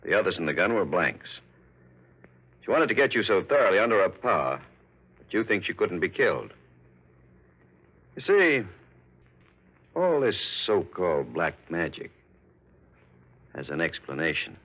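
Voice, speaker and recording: A very deep male voice playing a police captain in a 1940s radio thriller. He is steady and authoritative, laying things out in a matter-of-fact way. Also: moderate pace, long pauses, breathy edge, exaggerated voice, poor audio. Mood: explaining.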